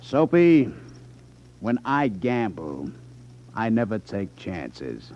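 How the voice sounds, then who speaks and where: A muffled 1950s radio broadcast carries a sly male racketeer who runs a gambling club. His pitch swings widely as he purrs false friendliness, leaning slowly and sarcastically on his words with open menace. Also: big pitch swings. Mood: sarcastic, scheming. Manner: smooth false friendliness, sarcastic, slow deliberate emphasis. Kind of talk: 1950s radio drama acting.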